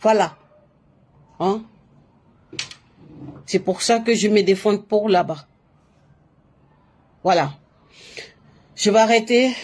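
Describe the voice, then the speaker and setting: A woman's voice: mid-range voice, slow pace, long pauses, darkish tone. A woman from Latin America speaking French in an emphatic, repetitive way on a live stream, full of restarts and fillers, giving spiritual advice. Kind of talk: casual live-stream monologue.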